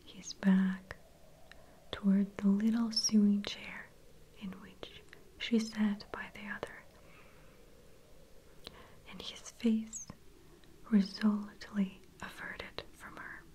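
A woman reads an old-fashioned novel in a quiet ASMR whisper. Her delivery is slow and mellow, with soft breathy phrasing throughout. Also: mid-range voice, flat delivery, low-quality recording. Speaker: reader. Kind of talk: whispered ASMR book reading.

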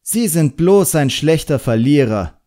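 A man's voice: on the high side, lively intonation, unhurried, dark tone, voice pushed up. A man voicing a proud, defiant boy wizard's apprentice in an audiobook, eager and self-assured. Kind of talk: audiobook character acting.

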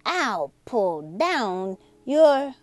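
Woman playing theatrical little boy frog, very animated. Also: high voice, no echo, exaggerated voice. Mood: hammy, spooky. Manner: exclamatory, hammy, drawn-out words. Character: excitable young boy frog, playful and theatrical.

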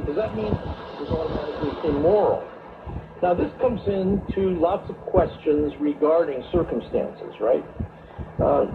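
A man hosting a radio talk show, speaking in a deliberate, reflective monologue with hesitant phrasing. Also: fairly high pitch. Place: radio studio, heard through a shortwave receiver. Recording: noisy shortwave radio broadcast, tinny narrow band.

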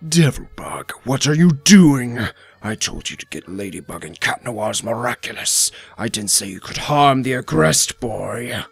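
Cartoon villain master for a male actor: slow, gravelly, imperious, wrathful, dry recording. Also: medium pitch, animated, no room sound, heavily acted. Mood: stern anger. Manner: imperious, threatening. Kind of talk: fan comic dub acting.

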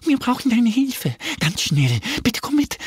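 A man voicing excited, urgent little bunny children in a children's story. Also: very high voice, measured pace, husky, dry recording, clean studio sound, pitched above the performer's own voice, exaggerated voice.

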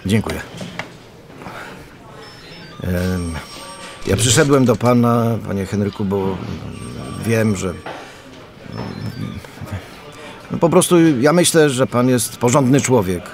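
A man's voice: medium pitch, animated, measured pace, pauses often, a little mellow, faint music behind, close to an everyday voice. An actor playing a polite, earnest policeman loyal to his men, speaking formally and hesitantly in a radio drama.

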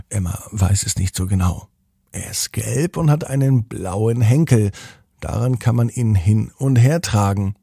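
A man's voice: medium pitch, vocal fry, mellow, slightly rough, no room sound, studio quality. A man hosting a children's podcast, narrating a bedtime story expressively and speaking directly to children.

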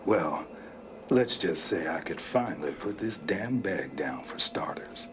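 A man's voice: low-pitched, lively intonation, a little slow, noisy background. An actor playing an enigmatic, weary mailman in a video game, with a folksy, knowing American manner. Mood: wry. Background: background music.

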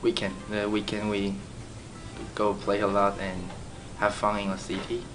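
Young man from Taiwan, low even voice. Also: unhurried, over music, poor audio. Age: young adult. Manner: simple English with restarts. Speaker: student.

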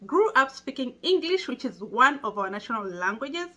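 A woman's voice: sits high, big pitch swings, normal speed, bright, forceful tone. A young Kenyan woman with a Kenyan accent, talking casually to camera in a small indoor room and patiently explaining things. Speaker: vlogger.

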